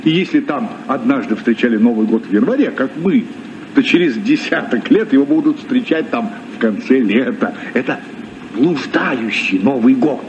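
An actor playing a hearty, learned castaway adventurer who explains things emphatically in a vintage radio play. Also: male voice, mid-range voice, lively intonation, moderate pace, a little mellow, distant mic, lightly acted. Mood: hearty, instructive. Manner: didactic, emphatic. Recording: muffled, slightly noisy old radio recording.

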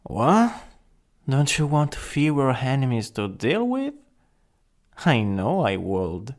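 Man playing a creaky Italian-accented jealous supervillain. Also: medium pitch, sing-song, slow pace. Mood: possessive menace. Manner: teasing, drawling, part-whispered. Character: jealous, taunting, possessive male supervillain. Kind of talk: ASMR roleplay.

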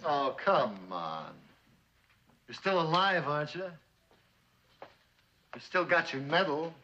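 An actor playing a cynical, bossy former army captain turned police chief with an American accent, in mid-century suspense drama style. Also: male voice, on the high side, big pitch swings, takes it slowly, pushed and bright, a little hiss or hum, exaggerated voice. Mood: pushy, persuading. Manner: needling, bossy. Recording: dull, lo-fi old drama soundtrack.

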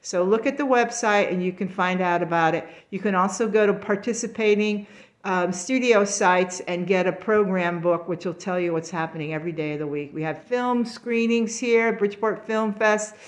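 A woman artist giving a casual, enthusiastic walkthrough of a gallery show, filmed on a handheld phone. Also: medium pitch. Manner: chatty, enthusiastic, unhurried. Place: gallery in an old brick factory building.

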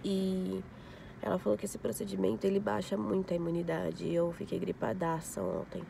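A young woman vlogging casually to her phone camera, congested with a flu and a scratchy throat, explaining her skin recovery slowly. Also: lowish voice, little pitch movement, vocal fry. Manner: talks slowly through skin recovery, showing details. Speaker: beauty vlogger. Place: inside a parked car, later a home sofa. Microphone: phone camera at arm's length.

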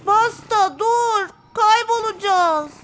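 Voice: extremely high pitch, slow, noisy background. An actor voicing a small, cautious, know-it-all young digger in a children's cartoon, with music behind. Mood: cautious, worried.

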